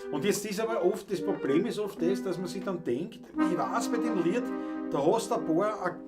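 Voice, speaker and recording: A man's voice: sits high, moderate pace. A man with a Viennese accent, a musician hosting a live show, chatting casually and reading out viewer comments. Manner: easygoing, reads out chat comments. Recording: live music studio with guitar.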